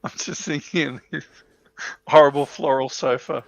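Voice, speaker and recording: Gravelly-edged man co-hosting casual chat. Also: a little high, fairly even intonation, normal speed, dry recording, low-quality recording. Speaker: show co-host. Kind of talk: casual chat on a hobby livestream.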